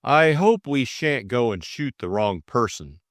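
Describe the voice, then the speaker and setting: Audiobook role: male reader as hot-headed young upper-class chap, grumbling, earnest, animated pitch, unhurried. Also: dry recording, clean studio sound, close to an everyday voice. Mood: eager, anxious. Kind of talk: audiobook character dialogue.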